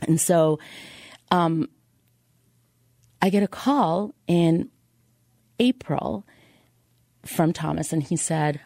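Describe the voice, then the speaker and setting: A woman telling a personal story as a podcast guest, halting at times and repeating words for emphasis. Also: low-pitched, creaky, warm tone, dry recording, clean studio sound.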